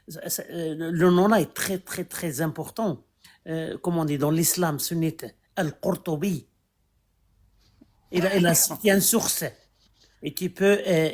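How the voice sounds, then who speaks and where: A man's voice: fairly high pitch, darkish tone. A man in a casual debate who explains hesitantly, often repeating his words.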